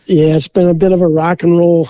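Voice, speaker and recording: A man's voice: on the high side, little pitch movement, brisk, dark tone, dry recording, lo-fi sound. A man from Minnesota, a beer brewer, chatting easygoingly as a podcast guest and filling his talk with "you know". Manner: rambling, easygoing, many "you know" fillers. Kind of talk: casual interview chat.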